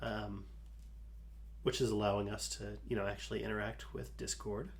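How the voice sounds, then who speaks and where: A man hosting a live coding stream, talking calmly through his code with a soothing voice and many fillers. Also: lowish voice, gravelly. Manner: slow, calm, talks through code with fillers. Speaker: livestream host.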